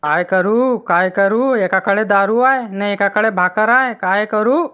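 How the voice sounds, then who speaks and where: A man's voice: sits high, takes it slowly, clean tone, narrow, tinny audio. A man voicing an argumentative, grumbling village husband in a comic cartoon, with a rural Maharashtrian accent. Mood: scheming, undecided.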